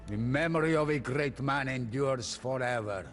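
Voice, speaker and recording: An actor playing a solemn storyteller who recounts a medieval warlord's tale in dramatic game cutscene narration. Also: male voice, medium pitch, normal speed, close to an everyday voice. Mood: mournful. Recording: music behind the voice.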